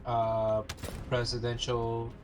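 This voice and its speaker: A man's voice: medium pitch, monotone, takes it slowly. A bilingual Spanish and English speaking man telling stories in casual chat, swearing freely. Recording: loud game music behind voice. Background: video game music, gunfire.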